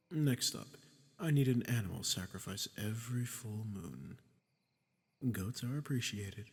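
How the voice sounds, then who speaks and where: A low, gravelly archdemon, acted out by a man, speaks in a long cavernous reverb. He is sadistic and smug, politely menacing, often sinking toward a breathy whisper as in a dark fantasy audio roleplay. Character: sadistic, smug, ancient male archdemon bargaining for souls. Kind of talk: scripted roleplay audio drama monologue.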